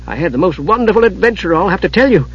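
Casting: small, carefree fantasy chatterbox, played by a man, high and lively, rambling innocently through a storybook tale. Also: fast talker, slightly rough, muffled recording. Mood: blithe, curious. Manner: rambling, chattering, blithely unbothered. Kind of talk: audiobook character voice.

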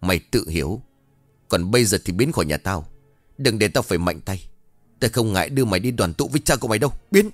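A man's voice: fairly low pitch, lively intonation, quick pace, dry recording, faint music behind. A man voicing a menacing widower defending his dead wife, growling threats in a Southern Vietnamese accent. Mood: threatening. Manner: growled threats and sharp challenges.

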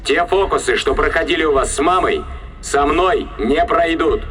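An actor playing a defiant starship captain in an audio drama, commanding, threatening and emphatic. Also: male voice, on the high side, moderate pace, a bit bright, close to an everyday voice.

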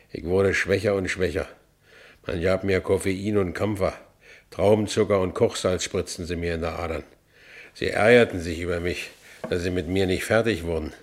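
A broken, stubborn working-class Berliner in a radio drama. The voice sits low, with a Berlin accent. The lines come in terse, blunt phrases. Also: male voice. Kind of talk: first-person radio play narration and acting.

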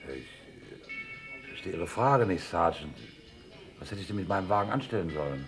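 An actor playing a scheming businessman in a radio crime drama, theatrical and condescending, smooth in company but bossy and irritable underneath. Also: deep voice, big pitch swings, normal speed, over music. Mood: feigned innocence. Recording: noisy radio play with vehicle sounds.

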